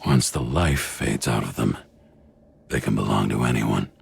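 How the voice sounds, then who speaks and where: An actor playing a weary, cynical noir detective in a video game, terse and haunted, with a gravelly voice. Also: male voice, very deep voice, moderate pace, heavily acted. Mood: weary, reflective. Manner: brooding, terse, world-weary. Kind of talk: noir voice-over and game dialogue. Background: prominent music.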